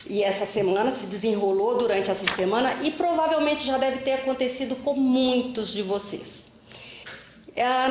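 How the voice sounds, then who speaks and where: A middle-aged woman lectures to a room of teachers. Her voice sits a little high, and she talks conversationally, telling stories with frequent fillers. The sound carries the echo of a large hall. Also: slight background noise. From Minas Gerais, Brazil. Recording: echoey hall.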